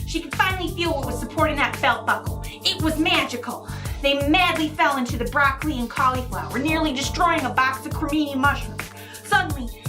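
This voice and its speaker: A woman who speaks in an earnest, halting, apologetic way, as a guest novelist on a comedy show. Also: high voice. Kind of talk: dramatic reading of romance prose, then casual interview talk.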